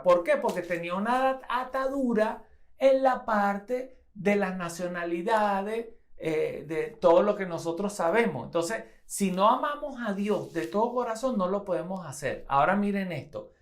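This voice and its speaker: A woman from Venezuela preaching a sermon, reading scripture aloud and repeating phrases for emphasis. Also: medium pitch.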